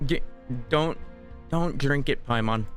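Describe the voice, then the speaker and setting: A man commenting casually on a game as he streams it. He makes joking asides and reads lines aloud in a relaxed tone. His pitch is mid-range for a man. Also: takes it slowly, soft background music.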